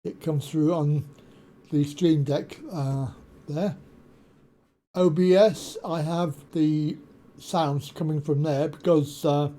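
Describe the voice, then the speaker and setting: A man hosting a tech tutorial, explaining a software setup step by step with frequent pauses and ums on a desktop USB mic at his home computer desk. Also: a little high, fairly even intonation. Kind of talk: screen-share software walkthrough. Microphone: desktop USB condenser mic.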